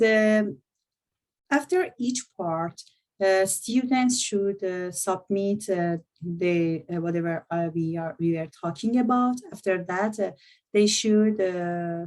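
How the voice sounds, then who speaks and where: A woman instructor who speaks English as a non-native language, presenting a course overview over a video call, halting and often searching for words. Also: fairly low pitch, darkish tone.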